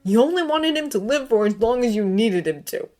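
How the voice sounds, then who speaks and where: A woman's voice: fairly low pitch, expressive, takes it slowly. A woman voicing an anguished, bitter grown man, a brooding teacher, with intense pleading outbursts. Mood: desperate.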